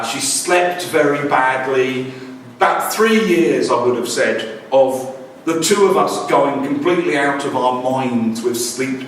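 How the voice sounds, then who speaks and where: A man's voice: medium pitch, slight background noise. A man in his forties telling a wry, self-deprecating personal story about family life as a language teacher. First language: English. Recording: very echoey room, distant mic.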